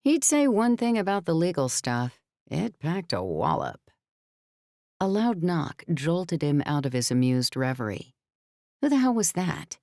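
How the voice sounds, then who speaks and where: A woman's voice: deep voice, dark tone, no echo, clean studio sound. A woman narrating scripted fiction as a storyteller in a full-cast audiobook. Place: dry recording studio.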